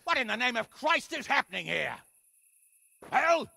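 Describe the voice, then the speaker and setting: An actor playing a stern, exasperated middle-aged lord in a video game, commanding, sarcastic and rough-voiced. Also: male voice, very high voice, sing-song, normal speed, pushed and bright, dry recording, exaggerated voice. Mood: furious. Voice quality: gravelly, rough. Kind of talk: dramatic video game acting.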